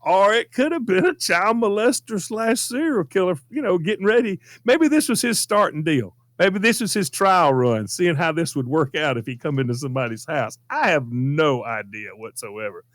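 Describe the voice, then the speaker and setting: A man's voice: high voice, animated, moderate pace, hardly any pauses, fairly bright tone, dry recording. A man with a Southern American accent telling an anecdote casually in a folksy, repetitive, emphatic way. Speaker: storytelling show regular.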